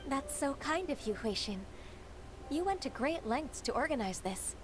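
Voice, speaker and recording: A woman voicing a gentle, reliable half-human secretary in a video game, speaking calmly and politely. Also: sits high, normal speed, over loud music. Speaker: game character. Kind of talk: game voice acting.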